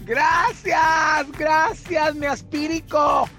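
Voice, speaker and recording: A man's voice: extremely high pitch, steady tone, extremely slow, sharp tone, raised voice, faint music behind, heavily acted. A man voicing a fawning, theatrical female radio sidekick who gushes in a sing-song way. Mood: gushing. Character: gushing flirty woman sidekick, over-the-top. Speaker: show sidekick.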